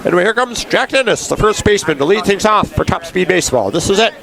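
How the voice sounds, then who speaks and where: A man's voice: high-pitched, lively intonation, fast talker, creaky, poor audio. A man doing baseball play-by-play commentary, sounding congested, chatty and given to history asides. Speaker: play-by-play announcer.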